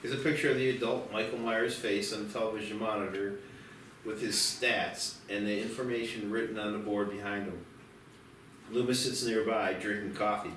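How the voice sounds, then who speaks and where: A man's voice: fairly low pitch, steady tone, normal speed, fairly bright tone, a little hiss or hum. A man reading screenplay stage directions as the narrator of a table read. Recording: very echoey, distant mic, music behind. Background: music.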